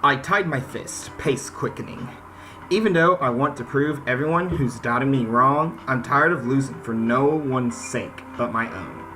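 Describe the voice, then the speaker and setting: A man's voice: mid-range voice, measured pace, background noise. A man hosting a gaming video, chatting casually and reading game text aloud. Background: game soundtrack music.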